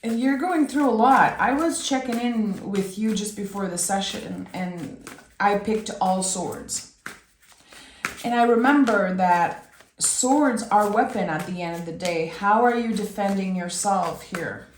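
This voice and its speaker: A woman with a raspy voice giving a soft, reflective tarot reading, speaking directly to the listener as host. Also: mid-range voice, unhurried, roomy sound, a little hiss or hum. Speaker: tarot reader and channel host.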